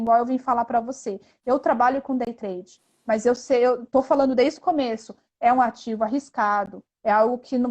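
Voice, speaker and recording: A woman's voice: a little high, a little mellow. A Brazilian woman speaking as an interview guest, explaining things earnestly and conversationally, often ending phrases with a tag question. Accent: Brazilian Portuguese. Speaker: interview guest, investment instructor.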